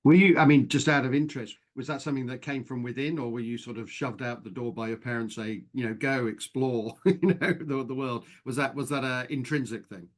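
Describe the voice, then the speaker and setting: A man's voice: mid-range voice, steady tone, a little fast, few pauses. A man hosting a podcast, introducing his guest and putting interview questions to him.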